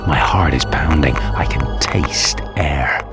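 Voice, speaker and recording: A man's voice: lively intonation, slow pace, heavily acted. An actor playing a damned, vengeful man in a video game, narrating his fate in an intense, brooding, theatrical way. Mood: grim awe. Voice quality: very deep voice. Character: damned, vengeful male antihero, bitter and doomed, recounting his fate. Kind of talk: dramatic first-person game cutscene narration. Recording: loud dramatic music behind.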